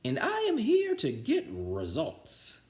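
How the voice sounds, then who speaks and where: Man voicing witchlike woman teacher, mean, imperious, very high pitch, drawn-out words, storybook, roomy echo. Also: huge pitch swings, a little mellow, heavily acted. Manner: slow, imperious. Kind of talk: children's storybook character voice. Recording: roomy, echoing sound.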